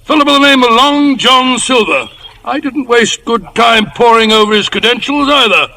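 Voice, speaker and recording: A very high-voiced, bright country squire in a radio play. He blusters heartily and excitably, tripping over words with gullible good cheer. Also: normal speed, heavily acted, poor audio. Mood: blustering. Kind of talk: old-time radio drama acting.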